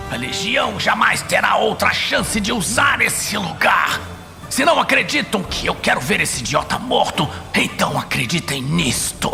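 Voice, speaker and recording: A man's voice: high voice, vocal fry, big-room sound, voice pushed up, exaggerated voice. A man voicing a desperate, scheming orc warlock in a fantasy audio drama, strained and defiant. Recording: music behind the voice.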